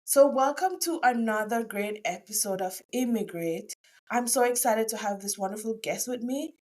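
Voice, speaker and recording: A woman's voice: a little high, normal speed, a little mellow. A woman hosting a podcast interview, chatty and effusive, often trailing off mid-sentence. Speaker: podcast host. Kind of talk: casual interview chat.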